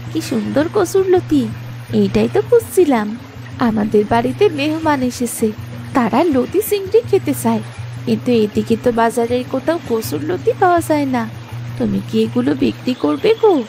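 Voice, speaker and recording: A woman's voice: sits high, animated, normal speed, vocal fry, dark tone, soft background music, low-quality recording. A woman voicing a nosy, suspicious village neighbour in a cartoon, chatty and exclaiming. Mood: pleased, eager. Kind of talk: cartoon moral story voice acting.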